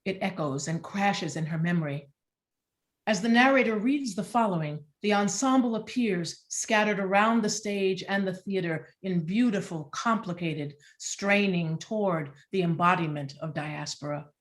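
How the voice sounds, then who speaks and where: A woman reading out stage directions as scripted narration, with an even, descriptive delivery. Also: mid-range voice, normal speed.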